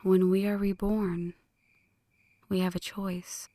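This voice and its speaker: Woman as a slow, whispering, seductive vampire woman. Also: mid-range voice, little pitch movement, no echo, close to an everyday voice. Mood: solemn, earnest. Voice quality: hushed, breathy whispering. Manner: slow, teasing, poetic. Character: flirty, brooding female vampire, seductive and melancholic. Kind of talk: ASMR roleplay, scripted dramatic monologue.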